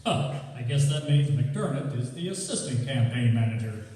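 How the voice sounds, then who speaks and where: A man playing a mild, gently needling neighbor in a live radio play. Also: medium pitch, lively intonation, normal speed, lightly acted. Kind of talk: live radio theatre acting. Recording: very echoey hall, distant mic.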